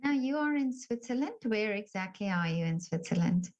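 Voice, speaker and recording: A woman's voice: mid-range voice, animated, moderate pace, a little mellow. A woman interviewing a guest over a video call, effusive and complimentary, with many fillers.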